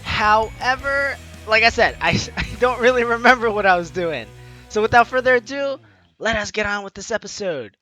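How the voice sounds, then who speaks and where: A young man with a high, bright, forward voice plays through a game on his show. He reads the text aloud and drops in chatty, joking asides, with faint music underneath. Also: lively intonation, normal speed. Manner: informal, jokey, chatty asides. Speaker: host of a gaming let's play series. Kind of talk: let's play commentary, reading game text aloud.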